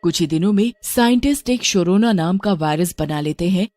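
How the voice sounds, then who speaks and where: A woman's voice: lowish voice, lively intonation, moderate pace, darkish tone, no room sound, studio quality, soft background music. A woman narrator reading a scripted story.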